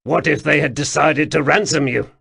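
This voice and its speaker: A man's voice: fairly high pitch, fairly even intonation, moderate pace, vocal fry, bright, forceful tone, breathy edge, voice pushed up, exaggerated voice. A man reading a blunt, reassuring companion.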